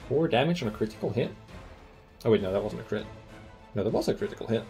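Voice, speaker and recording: A man's voice: medium pitch, animated, fast, warm tone, faint music behind. A man streaming a fantasy roleplaying game who thinks aloud in casual commentary, with many ums as he weighs loot and rules.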